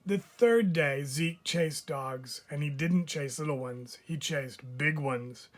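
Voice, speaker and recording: A man's voice: on the high side, slow pace. A man reading a children's story aloud like a teacher, drawing out phrase endings for emphasis.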